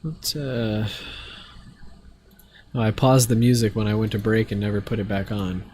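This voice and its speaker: A man's voice: lowish voice, moderate pace. Stuffy-nosed male streamer, rambling. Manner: relaxed, rambling, many fillers. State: stuffy from allergies. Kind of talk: casual live-stream chat while gaming.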